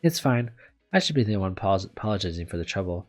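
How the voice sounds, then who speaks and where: Guy voicing sick anxious lady teacher from a visual novel, low voice, hesitant, feels sorry for herself, music behind. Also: sing-song, quick pace. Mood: flustered. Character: anxious, self-doubting young woman teacher, bedridden with fever. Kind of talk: visual novel read aloud. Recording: background music under the voice.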